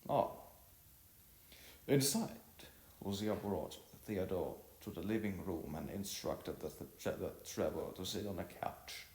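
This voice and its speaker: A man narrating a story as an amateur reader, stumbling over phrases now and then and rereading them. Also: fairly low pitch. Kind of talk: amateur narration of an audiovisual novel. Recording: roomy echo, faint music behind.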